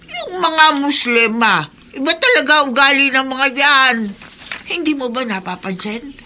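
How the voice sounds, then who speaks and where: A woman's voice: high voice, sing-song, unhurried, bright, forceful tone, slight background noise, heavily acted. A woman playing a gossipy, prejudiced middle-aged neighbour with emphatic delivery in a Filipino radio drama. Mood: disapproving.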